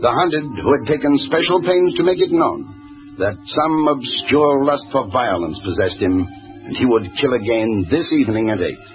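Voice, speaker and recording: A man's voice: medium pitch, natural voice. An actor playing a terse, urgent male police inspector in 1940s radio crime drama, clipped and dramatic. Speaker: narrator and lead actor. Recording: muffled old radio recording with music.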